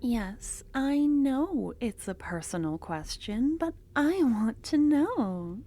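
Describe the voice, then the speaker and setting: A young woman voicing an obsessive, possessive female cultist in an ASMR roleplay. She talks in a soft, close murmur that drops into whispers, and her tone is doting and unsettling. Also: sits high, very animated, slow pace, heavily acted.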